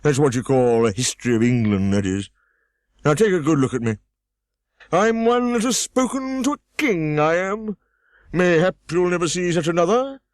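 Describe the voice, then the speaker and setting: Storybook role: a boastful, prickly egg-man, done by a man with a high, loud, swooping voice that is very dry. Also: expressive, dry recording, natural voice. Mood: grandiose. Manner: grand, boastful, quick to take offence. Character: pompous touchy egg man on a wall.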